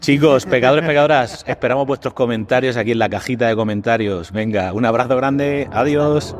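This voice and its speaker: A man's voice: medium pitch, a little fast. A man with an accent from Spain hosting a podcast, chatting casually in informal, slangy language. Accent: Spanish from Spain. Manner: informal, colloquial, with slangy swearing. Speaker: podcast host.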